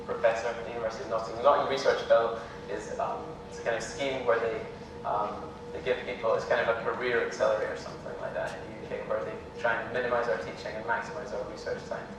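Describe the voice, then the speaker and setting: A young Scottish man giving an academic lecture in a relaxed, hesitant way, full of ums. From Scotland. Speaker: visiting lecturer. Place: lecture hall. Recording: echoey hall from far off, with rumble.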